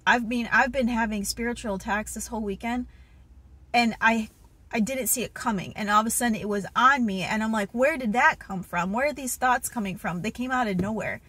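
A woman's voice: fairly high pitch, a little fast, a bit bright, husky, no room sound. A woman in her thirties earnestly recounting a spiritual dream, with many fillers and restarts, filmed on a phone at arm's length in a car. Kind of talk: casual testimony and Bible reading. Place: inside a parked car. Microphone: phone held at arm's length.

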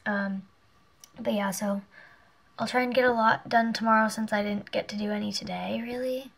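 A woman's voice: fairly high pitch, steady tone, moderate pace, vocal fry, a bit bright. A teenage girl with a stuffy nose, chatting casually and rambling to her webcam in a small bedroom, sometimes dropping to a whisper. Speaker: vlogger. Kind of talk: casual vlog chat. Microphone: webcam on a desk lamp.

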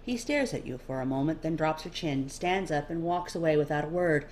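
A woman's voice: deep voice, a little fast, a little mellow. A woman narrating a scripted second-person story expressively as an amateur audiobook reader. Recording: faint background noise.

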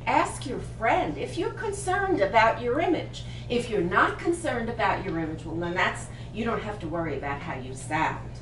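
A woman's voice: mid-range voice, very animated, normal speed, few pauses, a bit bright. Brooklyn-accented older woman teaching a workshop. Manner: emphatic, punchy lists, frequent laughs, direct questions to audience. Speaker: image consultant presenting a workshop. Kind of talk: lively workshop talk to a small group. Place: boutique shop floor, indoors. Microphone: far camera, no visible mic. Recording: roomy, distant camera audio with background noise.

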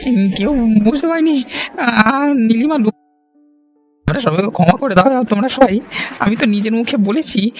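A man's voice: very high voice, moderate pace, soft background music. A man voicing a wicked, melodramatic village murderer in a cartoon. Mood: cowering, pleading. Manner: taunting, stammering under pressure. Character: wicked, leering village murderer, cowering once caught.

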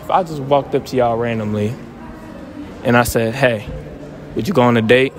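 A man's voice: mid-range voice, moderate pace, background noise. A young man hosting casual street interviews outdoors, joking and teasing in slangy talk.

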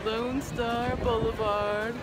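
A young woman vlogging casually at a busy outdoor fair, giggling through excited running commentary on a handheld phone. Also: fairly high pitch, slow pace. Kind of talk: casual vlog chat. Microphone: handheld phone camera. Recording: loud crowd and music behind. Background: crowd noise and fair music.

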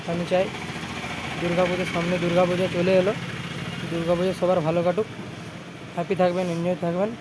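A man's voice: high-pitched, darkish tone. A young man with a West Bengal Bengali accent, talking informally and repetitively in a casual showcase vlog on a handheld phone in a repair shop. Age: young adult. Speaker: electronics repair shop vlogger. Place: cluttered indoor repair shop. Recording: noisy handheld phone recording.

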